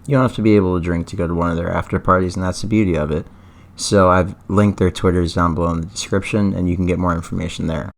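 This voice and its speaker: A man with a hoarse, worn-out voice hosts his own esports news vlog. He sits low in pitch and talks to camera in a loose, casual way, eager and keen on doubling up words for emphasis. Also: steady tone, quick pace. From Atlanta, US. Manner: enthusiastic, repeats words for emphasis.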